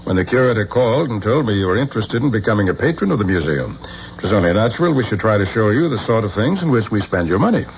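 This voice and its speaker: A stiff museum official, formal and cold, low voice with a lively swing; old radio sound. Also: male voice, quick pace. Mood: polite. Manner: formal and haughty, turning icy. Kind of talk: 1970s radio drama acting. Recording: dull old radio broadcast with faint hiss.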